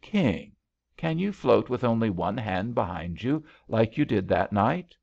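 A man voicing a tearful, pleading young woman in love for an audiobook, halting and plaintive, in a slightly dull recording. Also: on the low side, sing-song, gravelly, a little mellow, exaggerated voice. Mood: coaxing.

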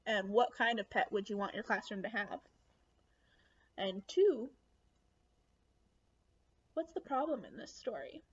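A young woman reading a picture book aloud to children in an animated, expressive way. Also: medium pitch, a little slow, long pauses, breathy edge, muffled recording. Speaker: children's read-aloud host. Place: small room at home.

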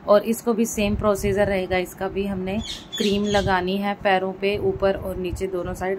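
A woman's voice: mid-range voice, background noise. A woman giving a casual step-by-step vlog voice-over, explaining and rephrasing her points as she goes. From India. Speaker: vlogger.

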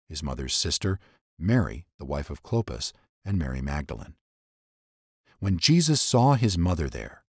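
A man narrating scripture dramatically, in a solemn and deliberate storytelling manner. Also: a bass voice, slightly rough, no room sound, studio quality.

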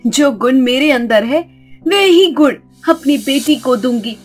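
A woman's voice: high-pitched, animated, a little slow, husky, soft background music, heavily acted. A woman voicing a confident, protective mother, firm and reassuring, in a moral story. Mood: determined.

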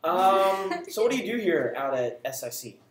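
A man's voice: sits high, big pitch swings, normal speed. A young man hosting a student interview show in a classroom, joking and teasing his guest. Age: young adult. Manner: teasing, playful, laughs often. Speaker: student host. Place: small classroom, indoors. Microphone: camera on table in front. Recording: echoey classroom with background noise.

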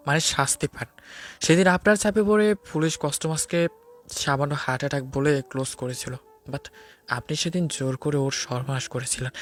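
A man playing a stern, commanding investigator with a cold, vengeful streak in an audio drama, speaking deliberately. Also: a little high, close to an everyday voice. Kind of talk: dramatised audio love-crime story. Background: music.

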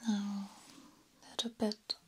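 A young woman voicing a genteel, sinister vampire countess in a soothing, breathy whisper for an ASMR roleplay, close to the microphone. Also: mid-range voice, little pitch movement, slight background noise. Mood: soothing, attentive. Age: young adult. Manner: soft, slow, soothing. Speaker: ASMR performer.

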